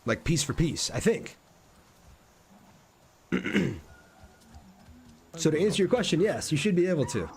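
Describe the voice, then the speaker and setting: A man's voice: fairly high pitch, big pitch swings, unhurried, vocal fry, long pauses, darkish tone, faint music behind. A man live streaming a game, thinking aloud in an informal way and chatting casually with viewers as he plays.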